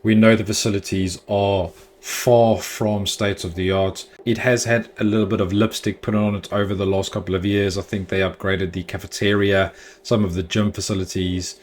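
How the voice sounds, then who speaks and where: A man's voice: lowish voice, flat delivery, moderate pace. A man hosting a football fan channel, giving chatty, opinionated news commentary with the odd filler.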